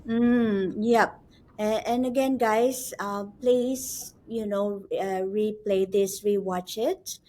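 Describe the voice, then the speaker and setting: A woman's voice: medium pitch, fairly even intonation, takes it slowly, dark tone, poor audio. A woman hosting a webinar for nurses in a chatty, encouraging way, with lots of asides and fillers. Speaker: host.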